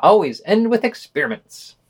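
Lively, higher-pitched male podcast news reader. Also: normal speed, gravelly, slightly rough. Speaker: podcast host reading news summaries. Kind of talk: scripted news reading with brief personal commentary.

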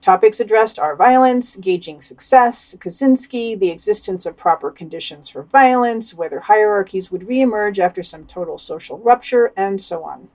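A woman's voice: medium pitch, moderate pace, few pauses, darkish tone. A woman reading written summaries and quotes aloud on a podcast, adding wry commentary. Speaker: podcast news reader.

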